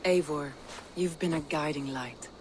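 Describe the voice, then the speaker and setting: An actress playing a noble Viking leader in a video game, speaking in an earnest, formal and resolute way. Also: female voice, deep voice, a little slow, a little hiss or hum. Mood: grateful. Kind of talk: dramatic video game acting.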